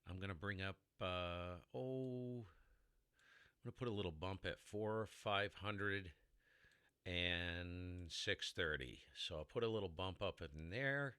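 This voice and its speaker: A man with a very low baritone voice hosting a casual ham radio tutorial, rambling and sidetracking as he explains, recorded in a heavily dampened room. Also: slow pace, bright, forceful tone, clean tone, no room sound. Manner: rambling, self-interrupting explainer with mic tests. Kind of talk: casual how-to demonstration.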